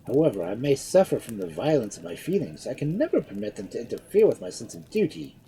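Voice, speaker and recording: A woman's voice: a bass voice, sing-song, fast talker, mellow, slight background noise, pitched above the performer's own voice, poor audio. A woman doing an impetuous young lord. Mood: earnest. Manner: pleading, exclamatory. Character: passionate young male lord, impetuous suitor. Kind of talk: storybook reading.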